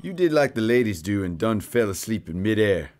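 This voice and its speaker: An actor playing a gruff, domineering Texas sheriff in an Old West audio drama, with a folksy, swearing manner. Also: male voice, fairly low pitch, very animated, a little fast, darkish tone. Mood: stern. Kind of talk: western audio drama acting.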